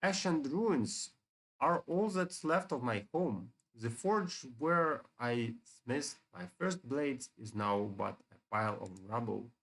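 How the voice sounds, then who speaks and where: A man's voice: mid-range voice, expressive, takes it slowly, creaky, a bit bright, heavily acted, poor audio. A man reading out the lines of earnest fantasy game heroes in a solemn, declamatory way. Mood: grave. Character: earnest fantasy heroes returning to a ruined dragon village.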